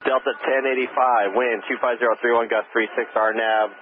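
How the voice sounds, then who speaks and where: A man's voice: medium pitch, fairly even intonation, brisk. A man working as an air traffic controller, giving clipped procedural instructions over aviation radio. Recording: noisy narrow-band aviation radio.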